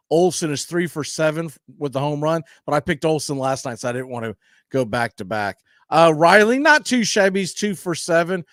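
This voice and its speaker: A man with a slightly high voice hosts a baseball pregame show. He talks stats and matchups in a folksy, conversational way. The sound is dry, with no room echo. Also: a bit bright. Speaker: sports radio host.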